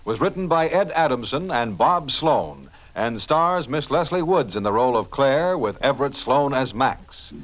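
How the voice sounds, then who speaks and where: A man's voice: medium pitch, expressive, normal speed, long pauses. A man announcing credits formally in a projected 1940s radio style. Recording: muffled old radio, long reverb.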